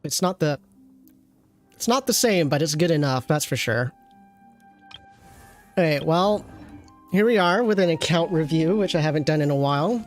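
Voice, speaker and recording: A game streamer with a middle-pitched voice talks casually to chat, rambling from one thought to the next and teasing along the way. Faint music plays behind the voice. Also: expressive, moderate pace, long pauses. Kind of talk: casual livestream game commentary.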